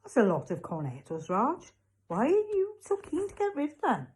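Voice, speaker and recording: A woman's voice: high voice, huge pitch swings, normal speed, a little mellow, slightly rough, voice pushed up, full character voice. A woman voicing a polite, sceptical young boy in a storybook, questioning and incredulous. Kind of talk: storybook character voice.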